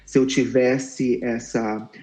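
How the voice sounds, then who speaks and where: Earnest man in his thirties, mellow voice. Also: mid-range voice, level tone. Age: 30s. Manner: earnest, reflective, halting restarts. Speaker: guest, former client. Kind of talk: candid interview talk. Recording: roomy echo.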